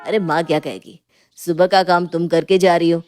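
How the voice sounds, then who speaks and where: Storybook young husband, played by a woman: relaxed attitude, playful, teasing, agreeable. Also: on the low side, normal speed, soft background music, voice pushed down, close to an everyday voice. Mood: reassuring. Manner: casual, playful, reassuring.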